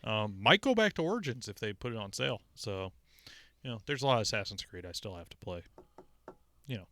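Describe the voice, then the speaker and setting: A man's voice: lowish voice, very animated, fairly quick, a bit bright, slight background noise. A man co-hosting a podcast, chatting casually about video games in a rambling way. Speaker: podcast co-host. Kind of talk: casual gaming chat.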